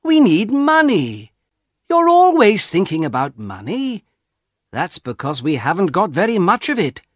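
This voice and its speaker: A man's voice: sits high, a little slow, no echo, studio quality, voice pushed up, exaggerated voice. A man reading a story aloud and voicing an eager, teasing young man urging his father on. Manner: loud, teasing and excited.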